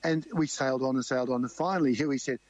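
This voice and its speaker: A man with a loud voice, telling a vivid race story in a radio interview. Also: medium pitch, fast, dry recording, lo-fi sound. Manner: animated, vivid storytelling with quoted crew talk. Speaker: sailing crew member guest.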